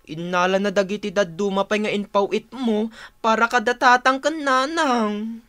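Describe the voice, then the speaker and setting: Woman playing fed up younger sibling in ilocano radio drama, ilocano accent, bright tense voice. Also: medium pitch, normal speed, lightly acted. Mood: distressed. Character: younger sibling, exasperated housekeeper of a rural household.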